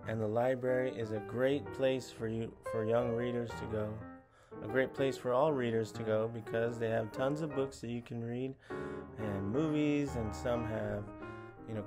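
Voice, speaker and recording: Man reading to kids over guitar music. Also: medium pitch. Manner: animated, questioning, encouraging address to young listeners. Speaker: read-along host for children. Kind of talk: picture-book read-along for young children, chatty asides. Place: small indoor room at home.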